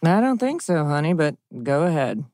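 Casting: woman to play candid middle-aged TV drama mom, low voice, expressive delivery, dry close sound. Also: normal speed, dry recording. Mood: wry. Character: candid middle-aged mother confiding in her married friend. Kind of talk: live table read of a TV drama script.

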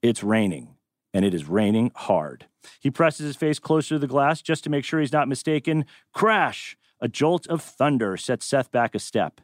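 A man's voice: medium pitch, expressive, moderate pace, no room sound, clean studio sound. A man hosting a live table read and narrating stage directions, tossing in wry, joking asides.

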